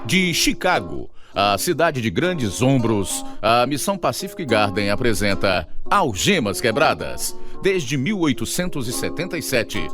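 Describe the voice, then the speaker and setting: Animated Brazilian male narrator over music. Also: medium pitch. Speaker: narrator and announcer. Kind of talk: scripted radio drama narration.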